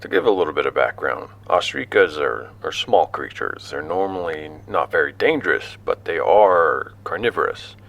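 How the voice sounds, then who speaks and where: A man playing an explorer who recounts his expedition in a sci-fi audio drama, halting, informal and conversational. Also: extremely low pitch, very animated, creaky, fairly bright tone, heavily acted. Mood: animated recounting. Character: young male explorer recounting his expedition, awed and casual. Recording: music under the voice.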